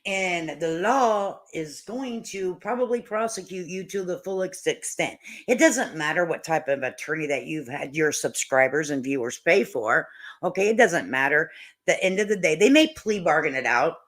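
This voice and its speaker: A woman's voice: sits low, animated, moderate pace, fairly bright tone. A woman doing casual reaction commentary as a channel host, with a blunt, mocking, folksy and salty way of talking.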